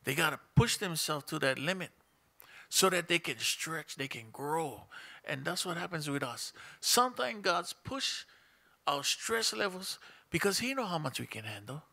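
A man's voice: on the high side, very animated, normal speed, creaky, sharp tone. A middle-aged man with a Hawaiian Pidgin-tinged accent, preaching conversationally from his seat in a small church room and asking the congregation questions. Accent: Hawaiian Pidgin-tinged English. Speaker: pastor. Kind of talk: sermon. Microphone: stand mic in front of him, seated.

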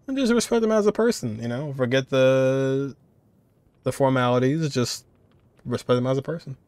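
A man's voice: medium pitch, normal speed, a little mellow, smooth, no room sound. A man giving casual reaction commentary, musing aloud informally and repeating phrases. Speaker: reaction video creator.

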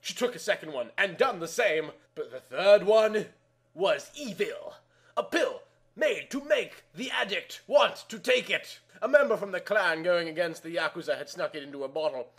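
A man's voice: high voice, expressive, moderate pace, fairly bright tone. A man reading a story aloud in a theatrical, exaggerated way. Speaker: narrator reading amateur fan fiction aloud.

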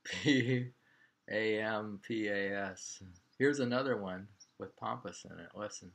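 Low, gravelly older American man. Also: a little slow, a bit bright. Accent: American Midland. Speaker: poetry reader and host. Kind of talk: casual chat and poetry reading. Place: small home room by a window, indoors. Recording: clearly noisy home webcam recording with clicks.

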